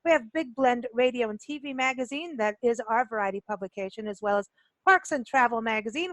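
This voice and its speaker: A woman's voice: on the high side, dry recording. A woman co-hosting a radio talk show in a chatty, effusive way, repeating words for emphasis. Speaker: radio show co-host and travel publisher.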